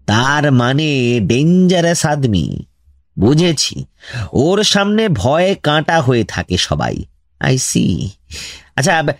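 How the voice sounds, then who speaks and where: An actor playing a pushy, impatient investigator in a comic radio drama, questioning insistently and self-importantly with a West Bengal accent. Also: male voice, fairly high pitch, no echo, studio quality. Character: pushy, impatient male investigator grilling a villager. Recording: music behind the voice.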